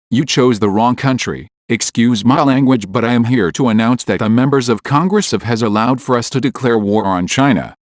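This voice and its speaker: A synthetic male voice playing a solemn American president, giving a formal, measured scripted address to a nation at war. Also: mid-range voice, no room sound, clean studio sound, natural voice.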